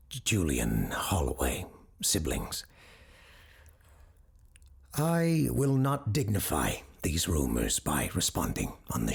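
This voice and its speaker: A woman playing a grave, formal male parapsychologist who hosts a supernatural call-in show in a scripted audio drama, slightly defensive and measured, with a husky, breathy voice. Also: a bass voice, full character voice. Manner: formal, measured, earnest with dry hesitations.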